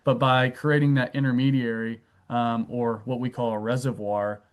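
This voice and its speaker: A man, a wealth advisor, guesting on a podcast. He explains his work steadily with stories, often pausing on "um". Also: mid-range voice. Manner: steady, anecdotal, filler "um". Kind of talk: explanatory interview answers.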